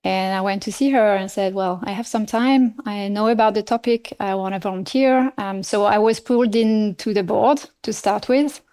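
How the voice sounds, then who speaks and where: Middle-aged woman, native French speaker, French accent; podcast guest, measured and thoughtful with hesitations. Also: medium pitch, warm tone, clean tone. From France. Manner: measured, many fillers and restarts. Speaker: nonprofit CEO, guest. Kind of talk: podcast interview.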